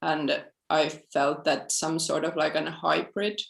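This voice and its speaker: Woman filmmaker on a video call, gravelly voice, roomy echo, lots of uhs and likes. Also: deep voice, little pitch movement, a little slow. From southern Finland. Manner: thoughtful, with frequent fillers and restarts. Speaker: documentary film director. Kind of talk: panel interview answers.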